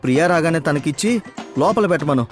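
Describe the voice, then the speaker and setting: Harried young family man, acted by a male narrator, pleading and rushed, higher pitch, storybook drama over music. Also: lively intonation, lightly acted. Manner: hurried, pleading. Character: harried adult son and husband, pleading, exasperated. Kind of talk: acted moral story dialogue.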